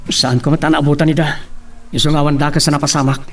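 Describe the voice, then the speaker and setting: A man's voice: on the high side, level tone, fast, recorded from a distance. A man with a Filipino accent playing a brave, respectful young man giving an earnest account in an audio drama. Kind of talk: Filipino radio-style drama acting.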